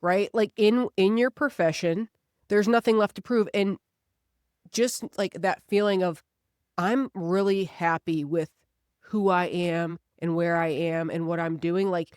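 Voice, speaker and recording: A woman in her thirties with a clean, smooth voice and a dry, close sound. She talks as a podcast co-host, casual and reflective, stressing her points earnestly. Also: on the low side, steady tone, unhurried, a little mellow, no echo. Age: 30s.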